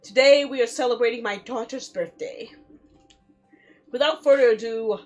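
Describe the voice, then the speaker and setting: A woman's voice: a little high, moderate pace, soft background music, close to an everyday voice. A woman voicing a proud noble father giving formal, declamatory speech in a visual novel. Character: proud, formal duke father addressing guests.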